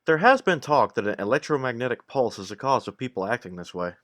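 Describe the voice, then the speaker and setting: A man plays a brisk television field correspondent in an audio drama. He asks interview questions quickly, with lively pitch movement. His voice is of middling pitch. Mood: inquiring.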